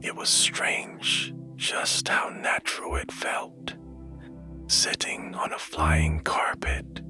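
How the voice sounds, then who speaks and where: A man narrating a bedtime story in a hushed, gentle and soothing manner, taking his time. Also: takes it slowly, in a whisper. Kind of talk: slow, whispered bedtime storytelling. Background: music.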